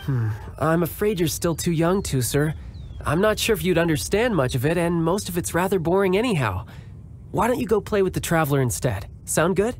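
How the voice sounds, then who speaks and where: A man's voice: on the high side, animated, brisk, a little hiss or hum, lightly acted. A man voicing a cocky, playful young commander in a game cutscene, confident in speeches and fumbling his cover story, with light music behind. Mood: gentle. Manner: confident speechmaking with awkward improvised cover stories. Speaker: young military commander posing as a toy seller. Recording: soft game music underneath.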